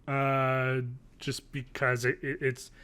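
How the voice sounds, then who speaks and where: A man's voice with a bright edge and a middle pitch. He reviews things casually as a podcast co-host, meandering and hesitant, often doubling back to rephrase. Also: monotone, slow pace, clear, resonant tone. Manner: hesitant, meandering, self-correcting. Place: living room at home, indoors. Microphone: video call, wearing headphones.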